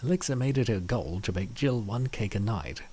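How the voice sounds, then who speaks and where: A man's voice: lowish voice, animated, moderate pace, dark tone, a little hiss or hum. A man reading scripted story narration as the narrator of a comic dub.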